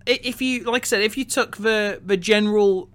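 A man co-hosting a review podcast, talking casually and analytically, often rephrasing haltingly as he thinks aloud. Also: high-pitched, a bit bright. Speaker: podcast co-host reviewing a film.

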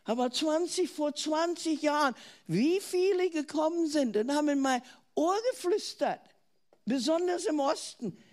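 High-voiced older German woman preaching slowly. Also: animated, a little mellow. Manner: earnest, asks the listener questions, restarts words. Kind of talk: sermon.

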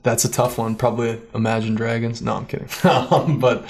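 Laid-back man with a gravelly voice. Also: medium pitch, level tone, brisk. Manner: laid-back, rambling, swears casually. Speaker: podcast guest. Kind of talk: casual chat.

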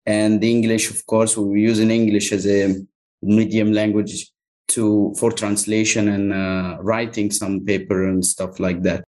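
A man from Egypt whose first language is Arabic, answering interview questions thoughtfully with many pauses and fillers. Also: on the low side, level tone, dark tone. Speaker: localization agency owner, guest.

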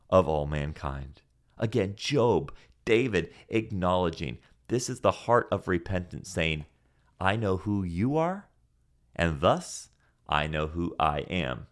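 A man who grew up in Senegal, teaching a Bible podcast in an earnest preaching manner with rhetorical questions. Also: deep voice.

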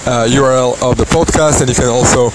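A man's voice: mid-range voice, fairly even intonation, quick pace. A man in his thirties from Belgium, hosting a casual, motivational podcast outdoors on a beach, with plenty of ums and uhs. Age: 30s. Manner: motivational, filler-heavy with many ums and uhs. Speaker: podcast host. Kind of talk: casual solo podcast monologue. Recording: loud outdoor wave noise. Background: loud waves.

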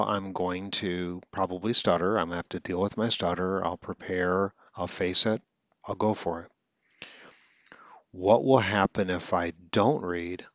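A man's voice: low-pitched, no room sound, muffled recording. A man who stutters now and then, hosting a podcast and coaching listeners in an earnest, instructional monologue.

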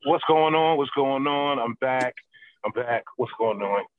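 A man's voice: mid-range voice, a little slow. A man joining a casual chat show, telling stories animatedly and laughing often. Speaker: regular panelist. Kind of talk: casual banter. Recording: thin lo-fi line.

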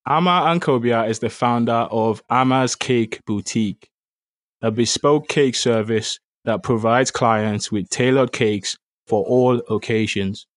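A man's voice: mid-range voice, a bit bright, no echo. Man, podcast host, upbeat, hype delivery, dry room. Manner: upbeat, hype-style, playful. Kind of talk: podcast interview.

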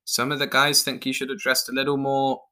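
An awkward male pirate as a male storyteller plays him, wry and a little defensive. He argues hesitantly but teases too, in a bright, sharp-edged everyday voice. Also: medium pitch, level tone, a little fast, voice pushed up.

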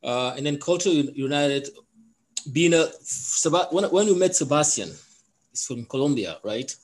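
A man's voice: a little high, expressive, unhurried. A man from Cameroon who coaches a soccer team, talking casually on a podcast and often restarting his sentences. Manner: rambling, with many restarts and fillers.

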